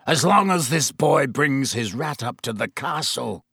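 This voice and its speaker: A man voicing a desperate, bitter male fugitive who snarls and croaks in an audiobook. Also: mid-range voice, animated, measured pace, sharp tone, no echo, clean studio sound, exaggerated voice. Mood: snarling.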